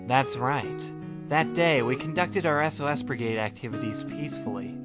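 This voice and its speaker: A man narrating a fan audiobook in the first person as a wry, laid-back high school student, deadpan and musing. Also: normal speed, natural voice. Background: music, plucked guitar.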